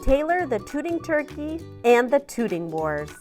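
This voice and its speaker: A woman reads a children's storybook in a fairly high voice with very lively intonation. She narrates expressively for young listeners, leaning on key words with dramatic emphasis. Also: measured pace, faint music behind. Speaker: children's read-aloud host.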